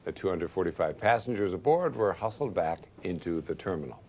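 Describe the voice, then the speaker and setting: A man anchoring local TV news, reading stories with a measured delivery and light banter in the studio. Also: sits low, lively intonation, darkish tone. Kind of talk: read local TV news copy.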